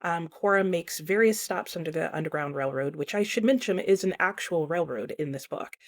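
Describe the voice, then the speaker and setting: A woman's voice: on the low side, a little fast. A woman talking casually and explaining her thoughts, as a book reviewer speaking in a vlog. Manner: conversational, explanatory.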